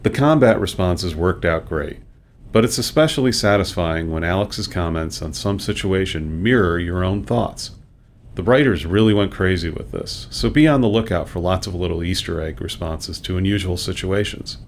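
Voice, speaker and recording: A man who works as a game developer, reading scripted commentary. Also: normal speed. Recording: clear low rumbling background noise.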